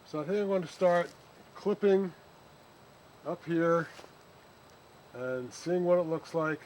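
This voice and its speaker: A man hosting an unscripted hands-on plant demonstration, speaking haltingly and thinking aloud as he works. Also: on the high side, darkish tone, slight background noise. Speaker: bonsai hobbyist host.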